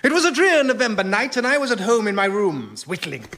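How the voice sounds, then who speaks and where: An actor playing a genteel, drily pompous eccentric in radio sketch comedy. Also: male voice, high voice, very animated, a little fast, bright, forceful tone, heavily acted. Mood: pompous storytelling.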